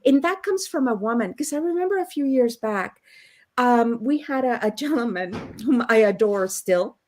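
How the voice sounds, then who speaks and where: A woman's voice: a little high, expressive, moderate pace. Chatty woman host on a video call. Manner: chatty, laughing storyteller. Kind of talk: casual panel chat.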